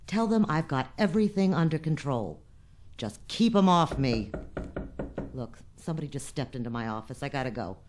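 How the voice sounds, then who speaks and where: A woman playing a tough, shrewd, impatient boss with shady deals in a science fiction radio drama, forceful and sardonic. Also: deep voice, animated, moderate pace, background noise. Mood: cool, persuasive.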